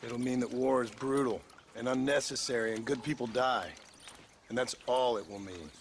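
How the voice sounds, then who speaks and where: An actor playing a world-weary American gunslinger in a western video game, blunt and sardonic, with an American accent. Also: male voice, mid-range voice, steady tone, normal speed, a little hiss or hum, natural voice. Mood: dry, sardonic.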